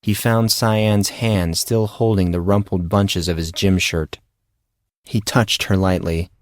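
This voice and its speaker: A man reading a scripted dramatic story as an audiobook narrator, in a measured, solemn way. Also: low-pitched, fairly even intonation, no room sound.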